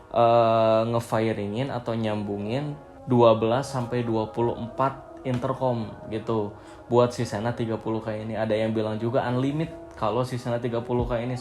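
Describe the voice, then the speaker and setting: A man's voice: mid-range voice, darkish tone. A man giving a casual, off-the-cuff product review, hesitating often with filler phrases. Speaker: vlog host reviewing gear.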